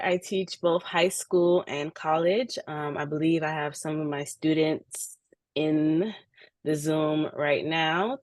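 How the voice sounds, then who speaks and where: A woman's voice: low-pitched, darkish tone, no echo. A woman printmaker and teacher from Saint Lucia, introducing herself on an artist panel with hesitant, um-filled speech. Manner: hesitant, many ums.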